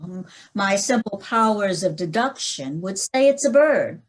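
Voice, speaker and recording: A woman playing a brisk, dry-witted male police inspector in a 1940s-style radio mystery. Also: mid-range voice, expressive. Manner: brisk, dry, matter-of-fact.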